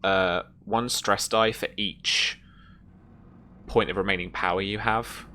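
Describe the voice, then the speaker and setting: A man running a tabletop roleplaying game, narrating scenes and explaining rules in a halting, thinking-aloud way. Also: lowish voice, fairly even intonation, pushed and bright. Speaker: game master. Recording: noticeable background noise.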